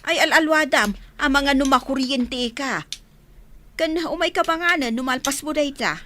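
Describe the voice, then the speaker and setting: A woman's voice: high-pitched, normal speed, bright, forceful tone, a little hiss or hum. A woman playing a worried, scolding village mother in a radio drama, with a Filipino accent. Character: worried, hard-up village mother of grown children.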